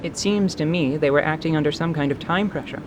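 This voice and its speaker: A woman plays a female mercenary leader in a fantasy radio drama. She is deliberate and commanding, with dry, dark humor delivered deadpan. Noticeable noise sits behind the voice. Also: low voice, close to an everyday voice. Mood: wry, chiding. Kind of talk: radio theatre character acting.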